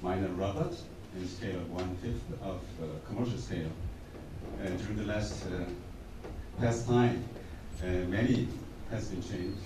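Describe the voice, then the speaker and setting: A man, an engineer, introducing himself at a workshop with many filler pauses and restarts. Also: deep voice, noisy background. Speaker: engineer in mining technology. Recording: echoey conference hall, distant mic.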